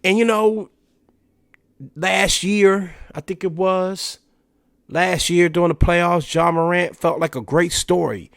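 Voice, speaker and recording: A man's voice: sits high, steady tone, slow, gravelly, no room sound. Guy with a stuffy nose doing a solo podcast rant, dry close sound, lots of you knows. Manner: conversational, filler-heavy with "you know" and restarts. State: stuffy, nasal from allergies. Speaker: podcast host. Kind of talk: casual solo commentary.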